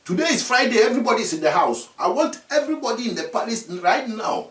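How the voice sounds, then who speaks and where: A man's voice: extremely high pitch, very animated, fast talker, a bit bright, reverberant, far from the mic, full character voice. A man doing a stern river spirit. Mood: commanding. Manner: stern, scolding, issuing orders. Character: commanding river spirit, a hidden youth posing.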